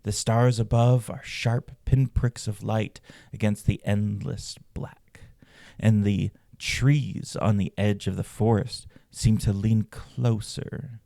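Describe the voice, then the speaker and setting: A man hosting a podcast, reading scripted narration and stories in a measured, dramatic way. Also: fairly low pitch, steady tone, gravelly, mellow, clean studio sound. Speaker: podcast host and storyteller. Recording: roomy echo, faint music behind. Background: faint background music.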